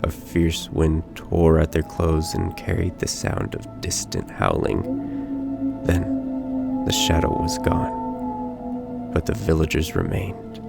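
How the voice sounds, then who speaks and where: A man narrating a scripted bedtime story in a dramatic, deliberate manner. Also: sits low, big pitch swings, vocal fry, over loud music. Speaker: storyteller in a bedtime roleplay audio.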